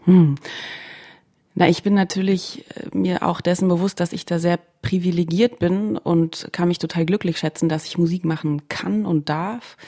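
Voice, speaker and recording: Female musician from Germany in interview, noticeable vocal fry, slightly low pitch, reflective, word-searching delivery. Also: warm tone. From Berlin, Germany. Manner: thoughtful, searching for words.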